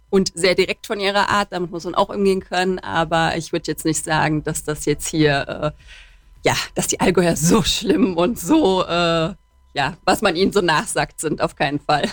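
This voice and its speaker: Middle-aged woman from Cologne, business leader, relaxed talk with fillers, dry booth sound. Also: fairly low pitch, moderate pace, dry recording. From Cologne, Germany. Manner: chatty, frequent fillers, warm laughs. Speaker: managing director, interview guest. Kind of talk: radio interview.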